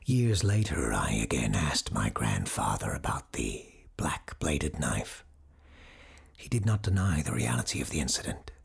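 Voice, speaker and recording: A man playing a grave doctor who hosts an occult radio show, telling stories in a measured, theatrical, formal way with a gravelly, breathy voice. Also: extremely low pitch, big pitch swings, heavily acted. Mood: ominous, hushed. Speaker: radio host. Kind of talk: dramatic scripted storytelling in a radio drama.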